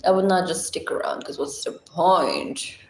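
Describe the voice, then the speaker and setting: A Moroccan woman with a Moroccan accent, hosting a casual livestream with a blunt, chatty, deep-voiced way of talking and frequent laughs. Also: huge pitch swings, a little slow, vocal fry, darkish tone, roomy sound, a little hiss or hum, low-quality recording. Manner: chatty, blunt, laughs often, thinks aloud. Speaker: livestream host. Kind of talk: casual livestream chat reading comments.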